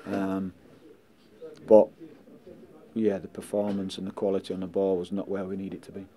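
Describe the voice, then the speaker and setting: A middle-aged man and football manager answering post-match questions indoors, measured and blunt, often saying "listen" and "um" into handheld mics. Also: deep voice, monotone, dark tone. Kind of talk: post-match press interview. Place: indoors in front of a sponsor board. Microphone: handheld mics held close.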